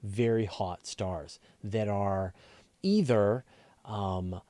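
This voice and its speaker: Mellow-voiced man explaining things, dry sound. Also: fairly low pitch, extremely slow, no room sound. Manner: conversational, explanatory, thinks aloud. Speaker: host of a space and astronomy question show. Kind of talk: casual live Q&A, explaining to viewers.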